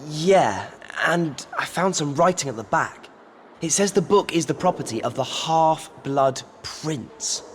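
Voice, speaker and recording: A man's voice: on the high side, lively intonation, a little slow, slight background noise. A male actor playing an earnest, plain-spoken teenage boy wizard and team captain in a video game. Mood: quiet, unsure. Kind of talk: scripted cinematic game acting.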